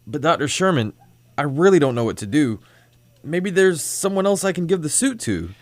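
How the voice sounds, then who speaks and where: A man acting a reluctant superhero in a radio play, sincere and unsure of himself. His dramatic delivery swings widely in pitch, recorded close and dry. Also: big pitch swings, moderate pace, dry recording. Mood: hesitant.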